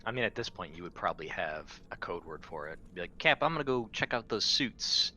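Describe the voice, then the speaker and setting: Deep, bright-edged male gamer. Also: big pitch swings, fairly quick. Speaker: tabletop game player. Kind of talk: casual game chat.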